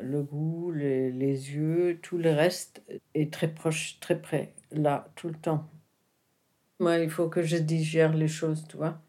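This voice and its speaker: Slow, gravelly woman speaking a second language. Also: low-pitched, dark tone. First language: not French. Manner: halting, searching for words, many restarts. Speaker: interviewee, the filmmaker's mother. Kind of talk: intimate conversation.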